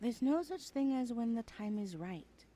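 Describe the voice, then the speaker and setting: A woman's voice: on the high side, animated, normal speed, warm tone. A woman playing a sassy, blunt twenty-one-year-old best friend in a casual, slangy audio roleplay, often sighing. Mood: frank, supportive. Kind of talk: conversational ASMR roleplay acting.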